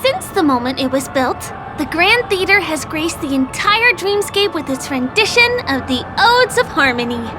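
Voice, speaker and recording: A young female tour guide from a video game. Her voice is high and bright with youth, bubbly and eager in manner. She chats like a friend showing off the sights, over prominent music. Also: big pitch swings, normal speed, hardly any pauses. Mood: proud, presenting. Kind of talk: video game character voice acting.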